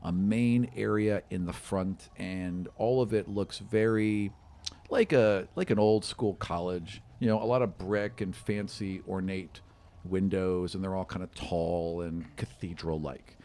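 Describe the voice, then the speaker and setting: A man with a fairly low voice runs a tabletop fantasy game. He narrates scenes in a conversational, descriptive way, improvising and feeling around for the right word. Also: fairly even intonation, unhurried, dark tone. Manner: conversational, descriptive, searching for words. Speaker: game master.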